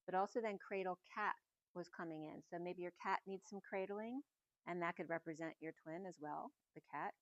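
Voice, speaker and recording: A middle-aged woman giving a casual, meandering spiritual reading at home, in a soft and musing way. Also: on the low side, brisk, dry recording, highs cut off. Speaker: spiritual reader. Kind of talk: casual channeled message reading.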